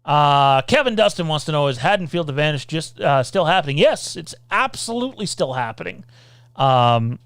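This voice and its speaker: Man, livestream host, rambling chat, clear smooth voice, dry close sound. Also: fairly high pitch, sing-song, moderate pace, a bit bright, no room sound. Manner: rambling, reads out viewer comments, many filler sounds. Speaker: podcast host. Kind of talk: casual livestream Q&A.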